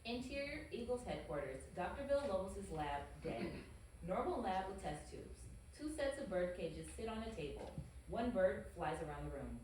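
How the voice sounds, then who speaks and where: A woman's voice: medium pitch, sing-song, moderate pace, a little mellow. A woman giving scripted narration, reading the stage directions at a table read. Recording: echoey room, distant mic, faint noise.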